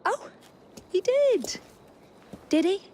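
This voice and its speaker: A woman in her twenties with an English accent playing a composed, sharp-witted Victorian assassin in a video game cutscene. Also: very high voice, big pitch swings, extremely slow, slight background noise, heavily acted. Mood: dry, composed. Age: 20s. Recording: game dialogue over music.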